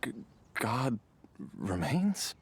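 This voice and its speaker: A man playing a confused, ailing father in a video game, speaking haltingly and bewildered. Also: mid-range voice, very animated, very noisy, heavily acted. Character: dazed, confused, ailing father with lost memory. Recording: music behind the voice.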